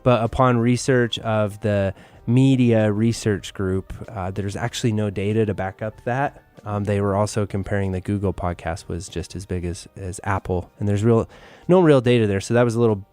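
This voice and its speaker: A man's voice: on the low side, normal speed, a little mellow, clear, resonant tone, soft background music. A man co-hosting a podcast, sharing news and tips in a casual, conversational, explanatory way. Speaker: podcast co-host.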